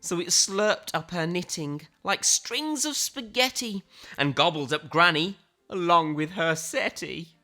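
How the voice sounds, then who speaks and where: A woman reads a children's picture book with theatrical flair and suspenseful pauses. Her voice is bright and swoops widely in pitch, taking its time over the dramatic words while faint music plays behind her. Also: lively intonation. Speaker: storybook reader. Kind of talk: animated children's picture book read-aloud.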